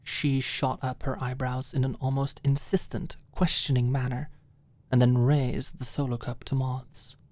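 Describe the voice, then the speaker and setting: A woman's voice: rumbling low, flat delivery, a little slow, dark tone, no room sound. A woman narrating scripted fiction as an ASMR audiobook storyteller.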